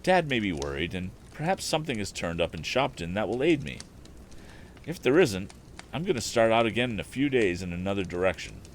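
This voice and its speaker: An earnest young inventor, played by a man reading a storybook, speaks with determination. He explains himself eagerly, with a lively, swinging pitch over faint background noise. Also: brisk. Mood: resolved. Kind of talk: acted audiobook dialogue.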